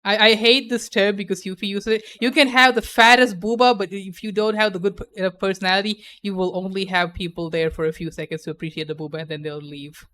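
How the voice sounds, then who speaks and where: A woman's voice: mid-range voice, steady tone, quick pace, hardly any pauses, a bit bright. A young adult woman chatting casually on a stream, playful and opinionated, laughing often.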